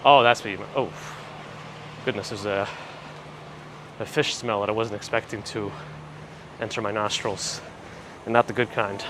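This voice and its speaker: A man hosting a live walking stream, chatting casually and reading viewer comments with dry asides; his voice is a little phlegmy and gravelly. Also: on the low side, fairly even intonation, moderate pace, long pauses. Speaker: livestream host. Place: walking outdoors on city streets. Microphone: handheld gimbal camera rig with recorder. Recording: street noise behind the voice. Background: traffic and city ambience.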